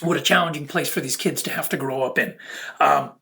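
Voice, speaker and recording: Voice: mid-range voice, brisk, creaky, pushed and bright. A speaker of unclear sex delivering a rambling spiritual monologue as a video host, in an earnest, preachy and fragmented way.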